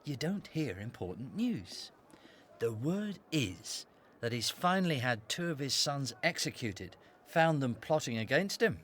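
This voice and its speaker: Sardonic, animated temple priest. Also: male voice, medium pitch, a little slow, slight background noise. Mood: gossipy, knowing. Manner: knowing, wry. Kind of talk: radio play acting.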